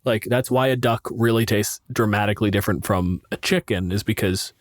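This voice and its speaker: A man's voice: fairly low pitch, brisk, no room sound, studio quality. A man co-hosting a podcast with casual banter, blunt, argumentative and prone to swearing. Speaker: podcast co-host. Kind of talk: casual banter, answering listener questions.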